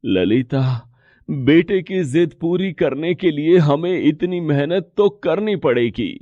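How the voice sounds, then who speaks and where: A man's voice: a little high, lively intonation, slow pace, dry recording, dull audio. A man voicing a poor, determined rickshaw-driver father in a moral story, speaking earnestly and reassuringly. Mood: earnest, resolute. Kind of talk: Hindi moral story dialogue.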